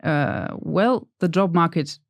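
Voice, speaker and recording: A woman's voice: fairly low pitch, slow pace, dry recording. Woman on a dry close mic. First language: German. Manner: thoughtful, hesitant, self-deprecating. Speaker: podcast guest. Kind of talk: casual interview chat.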